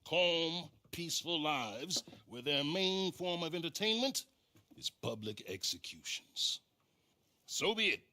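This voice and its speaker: A man's voice: a little high, very animated, slow pace, sharp tone, heavily acted. A man playing a stern, menacing frontier lawman in a game cutscene, talking in a grandiose, theatrical way. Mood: grim, grandiose. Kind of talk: dramatic game cutscene monologue.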